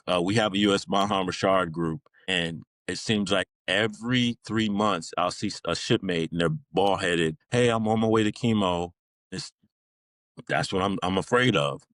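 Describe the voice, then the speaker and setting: A man's voice: low-pitched, moderate pace, a bit bright, no echo. A man, middle-aged, talking informally as an interview guest, rambling through stories in a casual conversational style. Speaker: podcast guest, Navy veteran.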